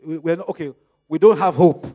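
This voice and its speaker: Animated high-voiced preacher, Yoruba speaker. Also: male voice, brisk, highs cut off. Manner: animated, acts out dialogues with rising pitch and volume. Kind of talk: sermon.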